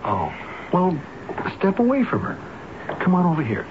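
An actor playing a kindly, folksy friend in a radio drama, hesitant and stammering, full of sympathy and concern. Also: male voice, high voice, wildly swooping pitch, moderate pace. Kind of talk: 1970s radio drama acting. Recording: noisy muffled old radio broadcast recording.

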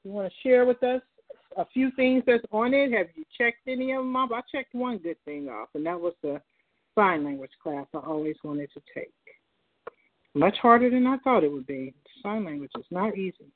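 A woman's voice: mid-range voice, animated, normal speed. Woman call-in host on a phone line. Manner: direct, poses questions to listeners. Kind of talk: call-in talk show hosting.

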